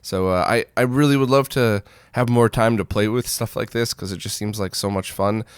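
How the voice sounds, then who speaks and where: A man's voice: lowish voice, fairly even intonation, normal speed, clear, resonant tone, dry recording, studio quality. A man hosting a retro gaming news roundup in an informal, conversational and opinionated way. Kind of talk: casual news roundup commentary.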